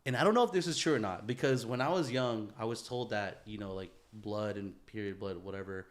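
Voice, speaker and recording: A man chatting casually as a podcast host, telling stories in an animated, slangy way and swearing freely. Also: fairly low pitch, brisk. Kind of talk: casual chat, storytelling.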